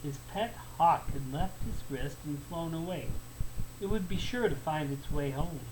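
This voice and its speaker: A man narrating a folktale as a storyteller, in an expressive, deliberate and emphatic way. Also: fairly high pitch, poor audio. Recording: faint background noise.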